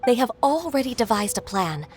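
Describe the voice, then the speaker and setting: A woman playing a gentle, earnest young official in a video game, speaking in a measured way. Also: a little high, big pitch swings. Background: faint game music.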